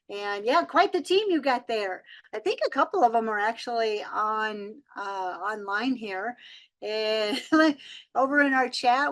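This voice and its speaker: Effusive woman hosting, higher-pitched voice. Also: fairly bright tone. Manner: effusive, appreciative. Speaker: webinar host. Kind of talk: casual hosting of an online Q&A.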